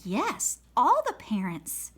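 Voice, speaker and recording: A woman's voice: fairly high pitch, wildly swooping pitch, slow, husky, exaggerated voice. A woman voicing a cheerful, encouraging female cat schoolteacher in a storybook read-aloud, with a coaxing, sing-song manner.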